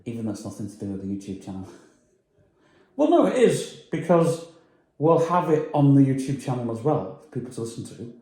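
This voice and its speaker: A man's voice: medium pitch, very animated, fairly quick, warm tone, roomy sound. A man chatting casually on his vlog, rambling and hesitant with lots of fillers. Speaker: vlogger. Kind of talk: casual chat.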